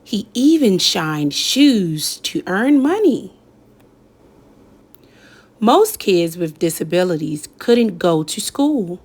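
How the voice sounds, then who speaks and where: A woman reading a children's picture book aloud in an expressive storytelling style, pausing for effect. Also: fairly low pitch, husky. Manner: slow, lively storytelling with dramatic pauses. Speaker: read-aloud narrator.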